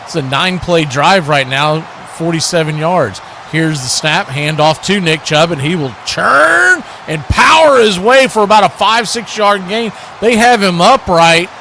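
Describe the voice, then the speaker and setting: Bright, high-pitched male football commentator. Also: lively intonation, unhurried, hardly any pauses, a little hiss or hum. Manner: punchy short phrases, colourful action verbs. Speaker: play-by-play commentator, watch party host. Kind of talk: live American football radio-style commentary.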